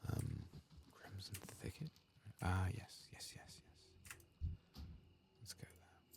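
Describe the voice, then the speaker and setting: A man doing a soft-spoken ASMR game playthrough, murmuring and often slipping into a whisper. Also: low-pitched, creaky. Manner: murmuring, drifting between soft speech and whisper. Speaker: ASMR gaming streamer.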